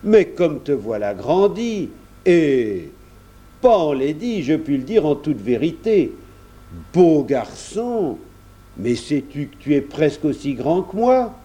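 A man's voice: fairly high pitch, big pitch swings, slow, warm tone, pitched above the performer's own voice. A man voicing a hearty, good-natured countryman in his late thirties who talks in folksy exclamations for a storybook. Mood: affectionate, jovial. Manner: exclamatory rustic talk with folksy turns.

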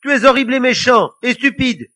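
A man's voice: sits high, sing-song, moderate pace, pushed and bright, voice pushed up, exaggerated voice. A man voicing a feisty, blunt eight-year-old girl in a children's story, indignant and defiant. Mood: furious.

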